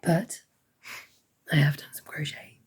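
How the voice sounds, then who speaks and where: A woman giving a casual crafting update, hoarse and half-whispering as her voice fails. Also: slow pace, darkish tone. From United Kingdom. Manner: half-whispered, croaky delivery. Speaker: crochet vlogger.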